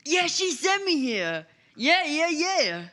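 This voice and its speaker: A woman voicing a confused, excitable lizardfolk shaman in a tabletop game, with broken, hesitant speech. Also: high-pitched, slow, heavily acted. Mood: earnest.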